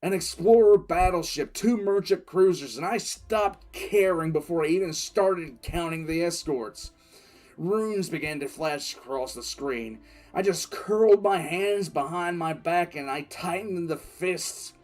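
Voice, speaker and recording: A man acts out a grim veteran commissar telling his war story in an audio drama. The voice sits a little high, brooding and halting, with weighty emphasis. Music plays faintly underneath. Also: darkish tone, lightly acted. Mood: grave, tense. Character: grim veteran military commissar recounting a past battle. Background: background music.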